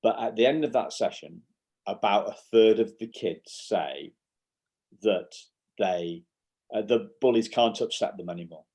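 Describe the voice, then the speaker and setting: Yorkshire man, lowish voice, halting restarts. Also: darkish tone. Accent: Yorkshire English. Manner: explains in short bursts with many restarts and fillers. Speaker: guest, educational publisher and school workshop speaker. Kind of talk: conversational interview.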